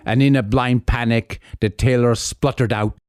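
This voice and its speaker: A man narrating a folk tale as a storyteller, with theatrical, deliberate phrasing. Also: medium pitch, fairly even intonation, darkish tone, clean tone, dry recording, soft background music.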